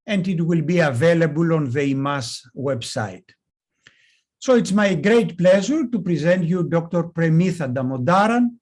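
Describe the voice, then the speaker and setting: A man opening an online medical webinar as moderator, introducing speakers and explaining housekeeping in a measured, formal way. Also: on the high side. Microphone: video call.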